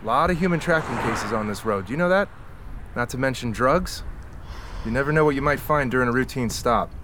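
An actor playing a bullying, suspicious highway patrol officer in an audio drama, speaking with condescending menace. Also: male voice, natural voice. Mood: needling, menacing. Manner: condescending, menacing, drawn-out questioning. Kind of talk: naturalistic audio drama acting. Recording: very noisy roadside ambience.

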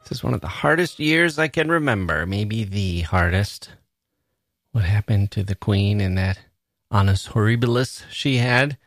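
A man's voice: fairly low pitch, expressive, normal speed, fairly bright tone, no room sound. Earnest man hosting a podcast. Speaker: podcast host. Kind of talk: reflective monologue to listeners.

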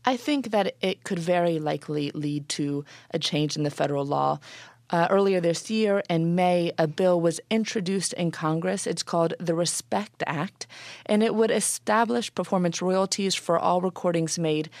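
A woman journalist, a guest on a radio show, explaining a legal matter clearly and briskly. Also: fairly low pitch, darkish tone, no room sound. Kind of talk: radio interview answers.

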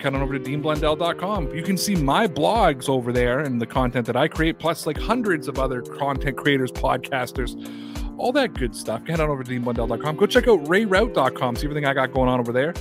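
A man hosting a sports podcast in casual chat, with energetic, rapid promo reading. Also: a little high. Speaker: podcast host.

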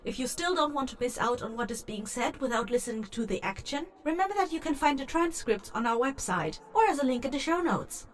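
High-voiced, teasing spirit. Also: female voice, lively intonation, a little fast, hardly any pauses. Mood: gentle, instructive. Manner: teasing, whimsical, addresses listeners with airy condescension. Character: playful teasing spirit addressing little mortals. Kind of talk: audiodrama character introduction and content warning.

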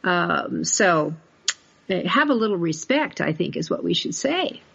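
A woman's voice: on the low side, big pitch swings, measured pace, creaky, a bit bright, breathy edge. A woman hosting a conversational interview, rambling a little as she thinks aloud. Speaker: podcast host. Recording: dull, lo-fi audio.

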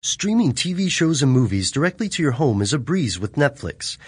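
A man's voice: medium pitch, big pitch swings, moderate pace, slightly rough, no room sound. A man reading a scripted sponsor advertisement as an announcer. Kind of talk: scripted ad read.

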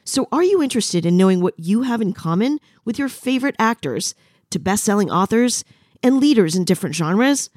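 A woman's voice: mid-range voice, animated, fairly quick, a little mellow, no echo. Woman host reading a dry, close promo. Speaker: podcast host. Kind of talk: scripted podcast promo.

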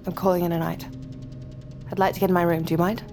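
A woman's voice: lowish voice, little pitch movement, fast, music in the background, natural voice. An actress playing a weary, blunt and dismissive woman in a naturalistic audio drama. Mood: tired, scornful.

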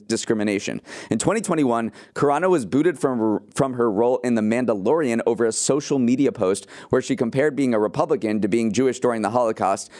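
A man's voice: mid-range voice, a little mellow. Male news podcast co-host. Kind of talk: conversational news commentary.

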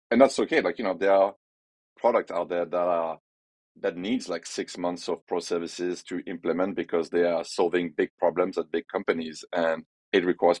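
A man with a French accent and a low voice speaks in a dry, close recording. He gives firm opinions in a casual, rambling way, filling his sentences with "like" and "you know". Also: slightly rough, no echo. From France. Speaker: podcast guest, head of growth. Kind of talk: casual business conversation.

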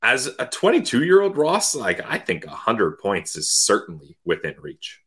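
Lower-voiced man co-hosting a hockey podcast. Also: wildly swooping pitch, measured pace, a bit bright. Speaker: sports podcast co-host. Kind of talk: casual hockey analysis.

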